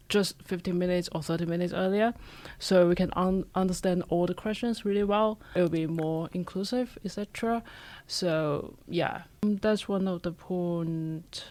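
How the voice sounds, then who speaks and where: A man's voice: sits high, no room sound. Hesitant non-native English-speaking man. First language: not English. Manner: careful, hesitant, many "uh"s and restarts. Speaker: interview guest, university graduate. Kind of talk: casual conversational answer.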